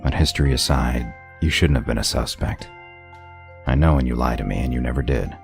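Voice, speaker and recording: Radio play role: man voicing a gruff wolfish sheriff, extremely deep, heavy gravel, terse and dryly teasing. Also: brisk, over music. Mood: apologetic. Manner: laconic, dry teasing. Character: gruff, sardonic male wolf lawman, loyal underneath. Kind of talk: audio drama dialogue.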